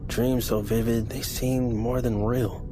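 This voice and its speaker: An actor playing a haunted man recalling terrifying dreams, narrating an audio horror drama in a slow, hushed, brooding delivery. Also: mid-range voice, flat delivery, close to an everyday voice. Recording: drama clip over loud score. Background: loud music score.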